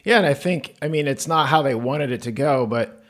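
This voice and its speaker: A man's voice: mid-range voice, moderate pace. A man hosting a podcast, opening the show and chatting with his guest in an upbeat, conversational way. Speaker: podcast host.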